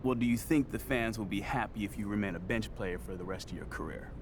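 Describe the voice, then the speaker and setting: A man's voice: on the low side, fast, background noise, natural voice. A man voicing a provocative sports reporter in a video game who asks pointed, needling questions.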